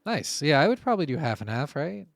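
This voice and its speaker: Male podcast host, slightly higher pitch, dry sound, blunt casual chat with swearing. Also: very animated, fast, gravelly, no echo. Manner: blunt, informal, swears freely.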